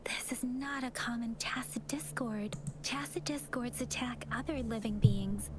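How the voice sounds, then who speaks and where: An actress playing a grave, earnest young woman companion in a video game, softly and breathily, with measured phrasing. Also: fairly high pitch, noisy background, close to an everyday voice. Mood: grave, explaining. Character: solemn young woman companion who senses emotions and frequencies. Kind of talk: scripted video game voice acting. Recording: game music behind the voice.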